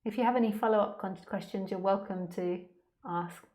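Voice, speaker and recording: A woman's voice: mid-range voice, brisk, darkish tone. A woman speaking as a spiritual teacher in an informal question-and-answer talk, candid, plain-spoken and conversational.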